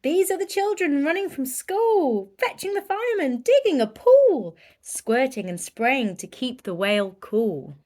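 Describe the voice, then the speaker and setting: Slow, animated woman reading a picture book. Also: fairly high pitch. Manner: dramatic, emphatic storytelling. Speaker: volunteer story reader. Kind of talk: expressive children's picture book read-aloud.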